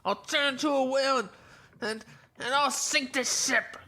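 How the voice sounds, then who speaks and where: A woman voicing a stubborn, excitable little child in an audio drama, insistent and childlike. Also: high voice, normal speed, heavily acted. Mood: demanding. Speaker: actor.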